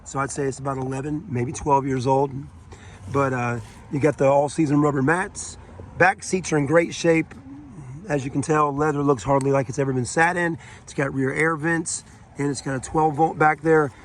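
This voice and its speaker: A man with a somewhat high, raspy voice from a sore throat walks around a car, talking in a loose, chatty way full of fillers and asides. He sounds like a salesman showing a car on an outdoor lot, with a faint hum of ambient noise behind him. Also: steady tone, moderate pace. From South Carolina. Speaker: car salesman giving a walkaround review. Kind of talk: casual car walkaround tour.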